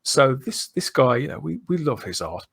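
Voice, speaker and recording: A middle-aged man with a mid-pitched voice, talking as a podcast host. He is effusive and emphatic, wandering through his points in a casual fan-to-fan chat. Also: big pitch swings, normal speed, vocal fry, husky. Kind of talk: casual enthusiastic fan discussion.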